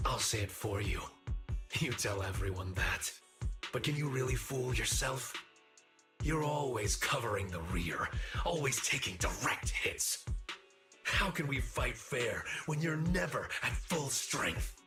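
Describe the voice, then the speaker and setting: A man playing a brash, proud young swordsman in a video game, gravelly and cocky, with an intense, taunting delivery. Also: mid-range voice, lively intonation, a little slow, over music. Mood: scornful. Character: brash, proud young male swordsman rival, stubborn and aggressive.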